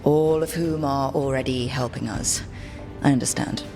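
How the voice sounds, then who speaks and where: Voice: mid-range voice, fairly even intonation, normal speed, vocal fry, over music, close to an everyday voice. An actor playing a frank, burdened team leader in a video game, speaking plainly and informally. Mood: serious. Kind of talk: video game cutscene acting.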